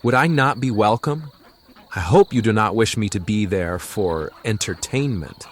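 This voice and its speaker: A man's voice: fairly low pitch, normal speed, a little hiss or hum, lightly acted. An actor playing a guarded, curious young man with a dry wit in an audio story. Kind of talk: acted dialogue in an audio story.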